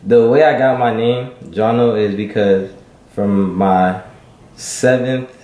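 A man's voice: fairly low pitch, steady tone, slow, dark tone, echoey room. A teenage boy from Miami introducing himself for an audition, rambling loosely. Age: teens. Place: living room with bookshelves.

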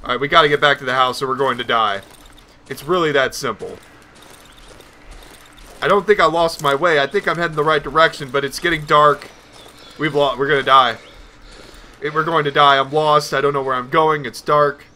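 Male let's player, higher-than-average bright voice, nervous casual rambling to himself while gaming, faint background noise. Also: moderate pace, pauses often. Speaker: gaming video host. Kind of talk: casual let's play commentary.

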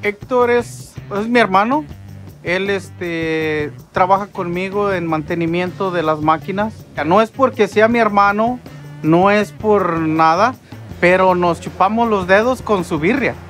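A man's voice: sits high, moderate pace, a bit bright, faint music behind. A man in his thirties, a worker, giving a plain spoken testimonial about a relative. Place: industrial laundry machine floor.

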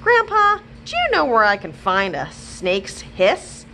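A woman doing a high, eager storybook child. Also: slow, a little hiss or hum, heavily acted. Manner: pleading, excited. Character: eager young child begging for outings.

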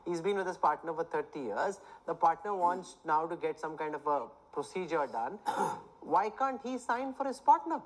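A man's voice: fairly high pitch, animated, normal speed, poor audio. A man anchoring a live televised panel debate, firmly steering and cutting in on speakers. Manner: firm, interjecting, steering speakers. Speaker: news anchor moderating a debate.

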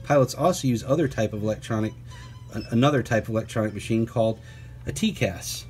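A man reading a children's picture book aloud as a teacher, pausing for conversational asides and questions to his young listeners. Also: medium pitch, a little mellow, faint music behind.

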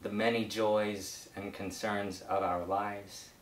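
A middle-aged man, a pastor, leads a prayer in a solemn, measured way, pausing between phrases. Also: little pitch movement. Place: book-lined study indoors. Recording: roomy echo, low rumbling noise.

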